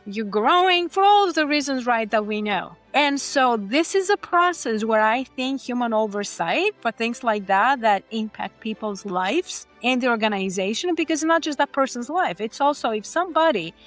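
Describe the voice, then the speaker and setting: A woman's voice: a little high, lively intonation, normal speed, few pauses, a bit bright, soft background music. A woman from Greece, a podcast guest, explaining her views in an earnest, conversational way with occasional restarts. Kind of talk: conversational interview.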